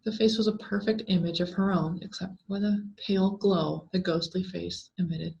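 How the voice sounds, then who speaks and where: Woman narrating a scary story, lowish voice, dramatic n deliberate, kinda lo-fi sound. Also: steady tone, vocal fry, darkish tone. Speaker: story reader. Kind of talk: scripted horror story narration, read aloud. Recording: dull, lo-fi sound.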